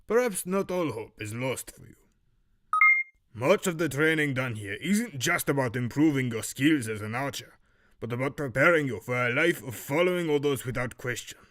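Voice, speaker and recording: A man voicing a stern master archery instructor with a muddled, Romanian-like Eastern European accent, lecturing in a measured, severe way for an audio drama. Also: mid-range voice, expressive, a bit bright, lightly acted. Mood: cold, solemn. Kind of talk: fan audio drama character acting.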